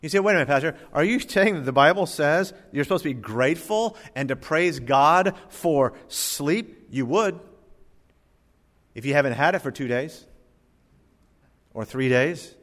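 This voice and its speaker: A middle-aged male pastor preaching a sermon in a church, moving between loud emphatic bursts and quiet, low asides. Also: lively intonation, normal speed. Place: church sanctuary. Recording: church hall reverb.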